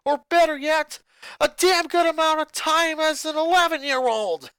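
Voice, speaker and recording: A fan fiction reader voicing an angry eleven-year-old boy who yells through gritted teeth and turns sarcastic. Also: high voice, normal speed, no echo, pitched above the performer's own voice. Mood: furious.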